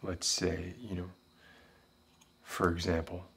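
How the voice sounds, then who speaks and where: A man playing a world-weary, philosophical former detective with a Southern American accent, musing haltingly in an ASMR roleplay monologue. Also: sits low, flat delivery, creaky, fairly bright tone, breathy edge, heavily acted. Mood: brooding, philosophical. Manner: deliberate, halting, musing, intellectual.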